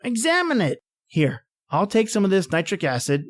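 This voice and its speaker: A woman's voice: medium pitch, very animated, normal speed, lightly acted. A woman playing an impatient, rational professor in a radio horror play, with a theatrical, exclamatory manner. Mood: confident, condescending. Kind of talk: old-time radio horror acting.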